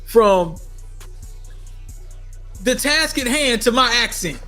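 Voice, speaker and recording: A middle-aged man from Minnesota telling a personal story in a casual, animated way, repeating phrases for emphasis. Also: very high voice, slow, soft background music. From United States, Minnesota. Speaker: storyteller vlogger.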